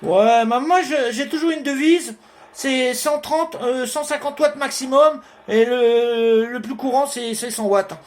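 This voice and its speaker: A man's voice: extremely high pitch, measured pace. A man chatting casually during an amateur radio contact, as one of the operators.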